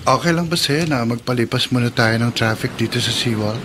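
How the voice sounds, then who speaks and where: An actor playing a gentle, eager young suitor in a radio drama. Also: male voice, mid-range voice, fairly quick, noisy background, natural voice. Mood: easygoing, attentive. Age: young adult. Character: eager young suitor, polite call center worker. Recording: radio drama with clear background ambience.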